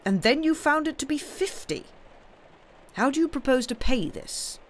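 A man voicing a stern elderly uncle in a storybook reading, gruff and blunt, lecturing a wayward nephew in old-fashioned phrases. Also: very high voice, expressive, moderate pace, pitched above the performer's own voice. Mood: demanding, blunt. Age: older.

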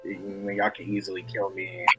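A man's voice: sits low, completely flat delivery, normal speed, slightly rough. A man playing a nervous, naive young night guard who rambles hesitantly in an amateur game roleplay. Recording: loud music under the voice.

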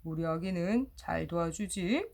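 Doting storybook rabbit parent read by a woman: gentle, cooing, unhurried, melodic. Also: fairly low pitch, expressive, creaky, voice pushed down, exaggerated voice. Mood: fond, pleased. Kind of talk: storybook character voice.

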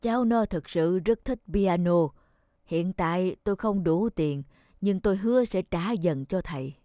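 Woman as a gentle, earnest old grandfather. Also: lowish voice, measured pace, no room sound, clean studio sound, close to an everyday voice. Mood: pleading. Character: gentle, caring old grandfather of a poor boy. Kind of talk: children's cartoon dubbing. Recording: faint children's music behind.

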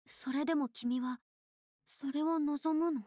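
An actress playing a solemn, thoughtful young woman in a video game, speaking in a measured, dramatic and slightly lofty way. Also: high voice, steady tone, warm tone, no room sound, clean studio sound. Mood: solemn, grave. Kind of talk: Japanese video game voice acting.

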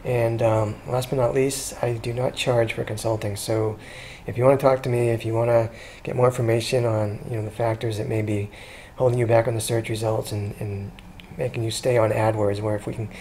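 A man talking casually through a screen-recorded walkthrough as a consultant, chatty and relaxed, with frequent ums and restarts. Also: mid-range voice, brisk, few pauses, slight background noise. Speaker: SEO consultant pitching a business.

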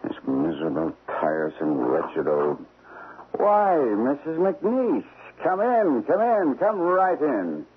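An actor playing a two-faced, nervous stockbroker in a radio drama, theatrical and evasive. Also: male voice, fairly high pitch, wildly swooping pitch, takes it slowly, a little hiss or hum, exaggerated voice. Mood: irritated, muttering. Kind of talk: old-time radio drama acting. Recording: tinny old radio broadcast.

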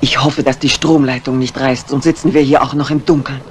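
An actress playing a brisk, dry-witted, commanding mistress of the house in a 1950s German radio mystery. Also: female voice, a bass voice, steady tone. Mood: brisk, wary. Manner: composed, commanding, wry. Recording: noisy old 1950s radio recording.

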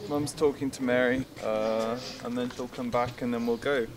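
A young man giving a relaxed, rambling vlog update outdoors on a town street, filmed on a handheld camera. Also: mid-range voice, moderate pace, background noise. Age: young adult. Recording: busy street crowd behind.

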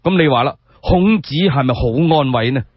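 A man telling a scripted story in Cantonese radio style, expressive and measured, with rhetorical asides, on a dull recording. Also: medium pitch, no room sound. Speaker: solo storyteller.

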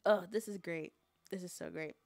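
A woman in her twenties co-hosting a casual livestream chat, excitable, laughing loudly and swearing freely. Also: on the low side, moderate pace, vocal fry, dry recording. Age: 20s. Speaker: co-host.

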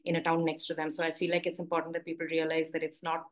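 A young woman from India, a panelist, speaking softly and reflectively over a video call. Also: deep voice, completely flat delivery, quick pace. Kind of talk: personal account on a panel. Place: home room with plants. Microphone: video call from a laptop.

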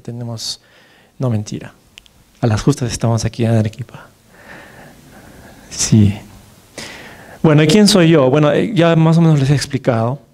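A Peruvian man in his thirties lectures from a podium in a big dark hall. His voice is mellow and his pitch sits mid-range for a man. He keeps it conversational, full of fillers and "right?" tag questions. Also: creaky. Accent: Peruvian Spanish. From Peru. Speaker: neurologist giving a lecture. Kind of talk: conference lecture. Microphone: podium microphone, far camera.

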